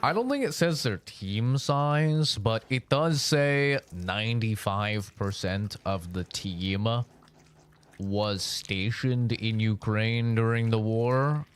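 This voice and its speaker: Man with a raspy morning voice. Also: on the low side. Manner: rambling, filler-heavy, says "like" and "man" often. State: raspy, deeper morning voice after waking. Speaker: streamer. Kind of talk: casual gameplay livestream commentary.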